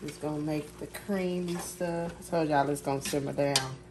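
A woman's voice: deep voice, moderate pace. A woman casually talking viewers through her cooking in a home kitchen, filmed on a phone over the stove. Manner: relaxed, chatty, addresses viewers as y'all. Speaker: home cook vlogger. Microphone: phone camera filming over the stove. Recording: echoey kitchen with cooking noise. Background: sizzling pans and clattering pots.

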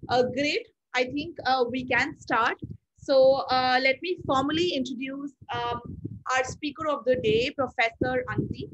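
High-voiced young woman hosting a webinar. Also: a little slow, fairly bright tone, a little hiss or hum. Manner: friendly, many um and uh fillers. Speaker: webinar host. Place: small room at home. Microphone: laptop mic on a video call. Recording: dull lo-fi video call audio.

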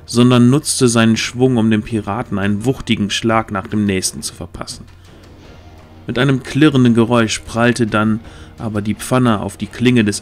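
A man narrating a scripted fantasy audio drama, reading the story as a narrator. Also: fairly low pitch.

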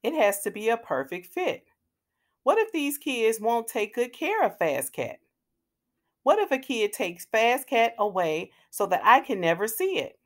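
A woman's voice: fairly high pitch, very animated, a little slow. A woman voicing an earnest, worried young boy in a children's storybook, with plaintive delivery. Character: young boy, worried and attached to his old bike.

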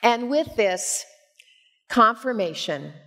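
A woman in her sixties preaching as a pastor, with measured, expressive storytelling, on a headset mic in a church. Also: fairly high pitch. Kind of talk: sermon with personal storytelling. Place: church sanctuary, at a pulpit.

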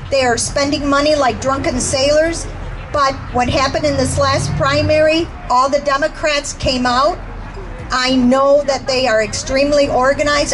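A woman from Cuba giving an earnest, urgent political speech at an outdoor rally through a handheld microphone. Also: sits high. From born in Cuba, moved to the US as a young child. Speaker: rally speaker, retired public school teacher. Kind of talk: impassioned political stump speech. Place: outdoors under a canopy by a roadside. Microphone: handheld microphone through PA speaker. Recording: noisy outdoor PA with traffic.

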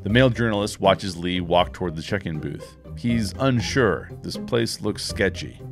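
A man in his forties reading screenplay action lines in a measured, wry way into a studio microphone in a home podcast studio. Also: low-pitched, expressive, music in the background. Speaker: host and narrator. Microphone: studio microphone with headphones.

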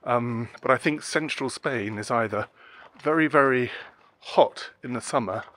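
A man's voice: medium pitch, measured pace, fairly bright tone. A man in his forties chatting casually to the camera as a travel vlogger, walking outdoors with a handheld device. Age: 40s. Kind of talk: casual vlog commentary to camera. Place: walking outdoors in narrow night streets. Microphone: phone or camera at arm's length.

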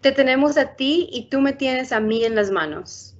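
Uma mulher de uns trinta anos, apresentadora de um programa infantil, lendo um livro ilustrado devagar e com calma numa chamada de vídeo. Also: female voice, high-pitched, a little fast. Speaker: outreach storytime host. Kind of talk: bilingual children's book reading. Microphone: laptop webcam on video call. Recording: muffled video call audio.

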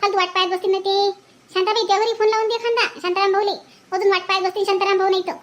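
A woman's voice: very high voice, steady tone, very fast, pitched above the performer's own voice. A woman voicing a homely, practical middle-aged village housewife in a comic cartoon, chatty and fussing, with a Varhadi Marathi accent. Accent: Varhadi Marathi from Vidarbha.

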